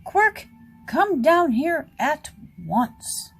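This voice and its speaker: A woman voicing an exasperated, commanding king in a children's storybook, barking orders and growling out drawn-out words. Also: sits high, big pitch swings, soft background music, voice pushed up, full character voice. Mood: angry, commanding.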